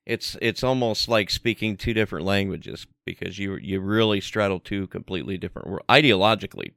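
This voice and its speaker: A man's voice: deep voice, brisk, gravelly, a bit bright, dry recording, clean studio sound. A man co-hosting a podcast in casual chat, rambling and repeating words as he thinks aloud. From United States. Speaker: podcast co-host.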